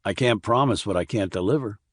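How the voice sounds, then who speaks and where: A man's voice: on the low side, fairly quick, dry recording, studio quality, lightly acted. A man voicing a blunt, probing army investigator in audiobook dialogue, terse and questioning. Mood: frank.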